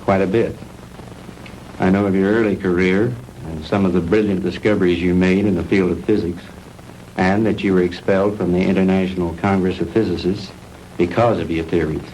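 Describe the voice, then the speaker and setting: Casting: a man to play an upright, indignant American hero in a 1960s sci-fi B-movie, fairly deep, stiff delivery, noisy audio. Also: fairly even intonation, brisk, darkish tone, natural voice. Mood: measured. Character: earnest, indignant male investigator confronting a villain. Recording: noisy old 1960s film soundtrack.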